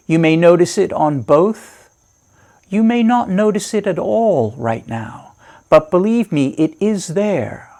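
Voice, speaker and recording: A man leading a guided meditation as a teacher, giving measured, reassuring instructions with deliberate pauses. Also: fairly high pitch, a little mellow.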